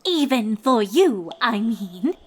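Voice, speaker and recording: A woman's voice: on the high side, big pitch swings, slow, slightly rough, exaggerated voice. A woman voicing a refined, gossipy young female unicorn from a cartoon, talking in a wordy, theatrical, indignant way. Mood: concerned, prim. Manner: dramatic, prim, indignant, wordy. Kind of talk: cartoon fan-fiction character reading.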